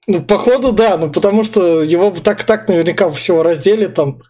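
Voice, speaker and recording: A man's voice: high voice, fairly quick, dark tone. A man chatting casually and colloquially about a film as a podcast co-host.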